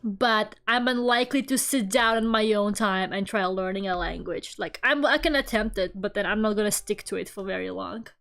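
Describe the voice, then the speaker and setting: A woman's voice: medium pitch, moderate pace, vocal fry, few pauses, a bit bright. A woman whose first language is Russian, with a mixed Russian and British accent, chatting casually and self-deprecatingly while drawing on a stream. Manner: chatty, self-deprecating, laughs often, many fillers.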